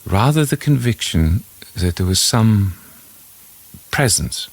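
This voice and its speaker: Low-voiced man narrating with wide swings. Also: expressive, takes it slowly, breathy edge. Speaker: narrator reading a classic ghost story. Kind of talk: dramatised first-person storytelling.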